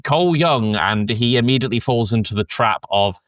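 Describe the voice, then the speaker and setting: A man who is a bit sick hosts a casual film discussion. His voice is bright and forward at a middling pitch, recorded close and dry. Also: expressive, brisk, no echo. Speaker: podcast host.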